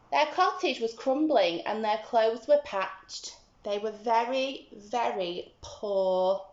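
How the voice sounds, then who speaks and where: A woman teacher talking simply and deliberately to young children as she explains and reads a storybook aloud. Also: mid-range voice. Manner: simple, deliberate, instructive, animated when reading. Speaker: early-years school teacher. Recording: roomy, slightly muffled home recording.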